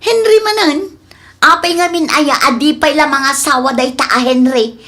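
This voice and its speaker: A woman's voice: high voice, moderate pace, a bit bright. A woman playing a nagging, suspicious, grumbling wife in a comedy radio drama, with a Filipino accent. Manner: complaining.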